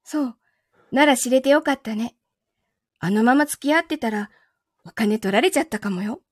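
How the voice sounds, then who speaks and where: A woman playing a possessive lover in a voice drama, speaking gently and calmly with quiet menace. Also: fairly high pitch, no echo, clean studio sound, natural voice. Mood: cold, calm. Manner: soft, gentle, unhurried delivery without shouting. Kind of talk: Japanese voice drama acting.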